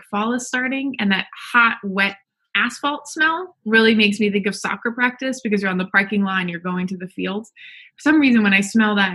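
Bright, husky woman reminiscing casually. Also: mid-range voice. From Belgium, then United States from high school. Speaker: podcast guest. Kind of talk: casual personal recollection.

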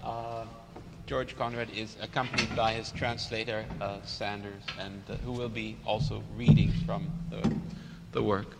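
A man hosting a reading series, introducing a guest author with halting phrasing and frequent fillers. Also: lowish voice, level tone, vocal fry, very noisy. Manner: warm but halting, with fillers and restarts. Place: church hall. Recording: reverberant church, faint ambience.

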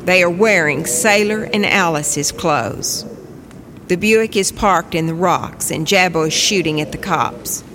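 A narrator reading a scripted spoken-word story in terse, measured phrases. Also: mid-range voice, fairly bright tone, slight background noise. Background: music under the voice.

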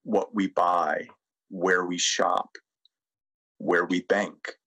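A middle-aged American man speaking reflectively as a podcast guest, measured and deliberate, with pauses. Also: sits low, little pitch movement, bright, forceful tone. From United States. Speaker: podcast guest, sustainability consultant. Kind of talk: reflective interview talk and guided presentation.

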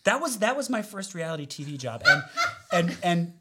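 A man's voice: sits high, very animated, normal speed, heavy background noise. A man telling a rambling, laughing story about his first job in casual podcast chat. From United States. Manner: rambling, laughing self-deprecation. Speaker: storyteller guest.

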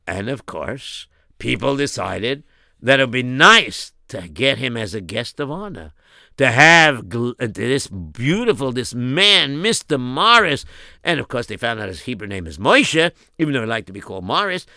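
A man's voice: medium pitch, very animated, normal speed, creaky, sharp tone, no room sound, clean studio sound. A man telling a comic story as narrator, in a folksy, exclamatory way.

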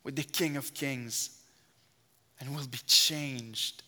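A man's voice: mid-range voice, fairly even intonation, takes it slowly, bright, forceful tone. A man with a strong French accent, whose first language is French, preaching a sermon in a church and praying fervently with earnest emphasis. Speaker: guest preacher. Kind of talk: sermon with opening prayer. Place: church sanctuary. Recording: long hall reverb of a church.

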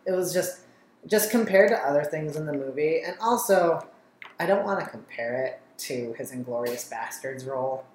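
Low-voiced woman chatting about films. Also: animated, moderate pace, a little mellow, roomy sound, slight background noise, low-quality recording. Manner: chatty, laughs often, frequent "like". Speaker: podcast co-host. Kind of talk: casual film chat.

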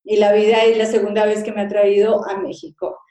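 A middle-aged woman born in Colombia, a cake designer, telling her story in a lively conversational way and acting out little dialogues. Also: mid-range voice, brisk, roomy sound. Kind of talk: conversational interview.

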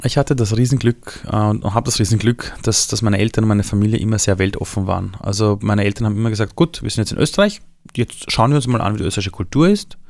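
A man from Iran with a Viennese accent, telling his story in a relaxed interview with lively, animated delivery. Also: lowish voice, fast talker, gravelly, hardly any pauses, dry recording, studio quality. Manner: lively storytelling, shifts voice for quotes.

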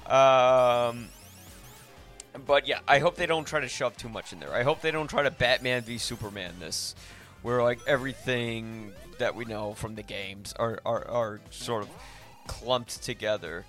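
A man hosting a podcast, talking casually about film in an animated, halting way that often repeats words. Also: mid-range voice, faint music behind. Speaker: podcast host.

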